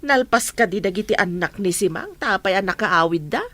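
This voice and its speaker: A woman's voice: medium pitch, expressive, normal speed, a bit bright. A woman with an Ilocano accent playing a firm, practical middle-aged mother in a radio comedy drama. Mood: questioning.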